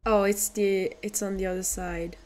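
A woman's voice: medium pitch, flat delivery, moderate pace, a little mellow. A woman with a French accent, a streamer hesitantly thinking aloud while playing a game. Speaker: VTuber streamer. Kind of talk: casual game commentary.

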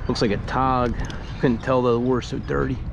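A laid-back man talks to his viewers while fishing from a kayak in the wind. His voice sits at an ordinary pitch and mutters along as he works. Clear wind rush and water noise sit under his voice from the action camera. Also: steady tone, moderate pace, muffled recording. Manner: laid-back, muttering to himself between catches. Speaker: fishing vlogger. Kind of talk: casual on-the-water narration. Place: kayak on open water under a bridge, windy. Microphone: head-mounted action camera.

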